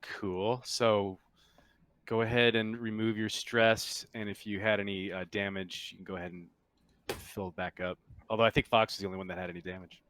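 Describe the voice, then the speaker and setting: A man's voice: fairly low pitch, flat delivery, normal speed, fairly bright tone, dry recording. A man running a tabletop roleplaying game, narrating and explaining casually to his players as game master.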